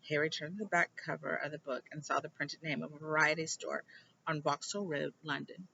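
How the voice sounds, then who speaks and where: A woman reading a children's novel aloud informally, with animated delivery and the odd stumble and aside. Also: sits low, fairly quick, vocal fry, muffled recording, a little hiss or hum. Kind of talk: expressive amateur read-aloud with asides.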